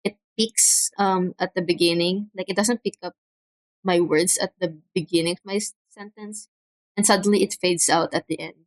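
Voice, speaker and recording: Soft-spoken young woman streamer, dry sound. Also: fairly low pitch, steady tone, moderate pace, no room sound, poor audio. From Philippines. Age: young adult. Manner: soft-spoken, hesitant, many fillers. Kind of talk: casual livestream chat. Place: home room. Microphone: cheap desk mic.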